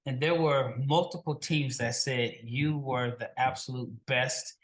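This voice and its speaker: A man hosts a basketball podcast and interviews a guest. His voice sits at a medium pitch, and he phrases his questions loosely and conversationally, with frequent restarts. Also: unhurried. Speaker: podcast host, draft analyst.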